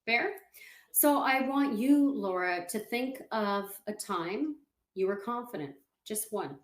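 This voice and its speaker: A woman confidence coach, speaking as an interview guest over a video call and calmly walking the listener through exercises. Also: mid-range voice, slow pace, reverberant. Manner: calm, explanatory, walks the listener through exercises. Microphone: video call setup.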